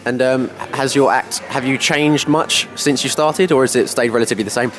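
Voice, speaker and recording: Brisk male radio interviewer, mid-pitched, faint music behind him. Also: fairly bright tone. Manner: quick questions with many ums. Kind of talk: casual interview questions.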